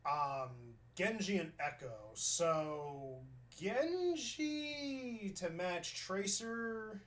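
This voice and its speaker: A man from New York giving casual, chatty commentary while reviewing gameplay footage, thinking out loud and restarting his sentences. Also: on the high side.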